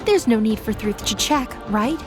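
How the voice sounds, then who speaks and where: A woman's voice: on the high side, expressive, moderate pace, over music. A woman giving dramatic scripted narration as the storyteller of an audio drama.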